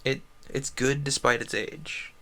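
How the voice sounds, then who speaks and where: A man chatting casually and haltingly on a solo game livestream, rambling with self-deprecating asides. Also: medium pitch, fairly even intonation, bright, forceful tone.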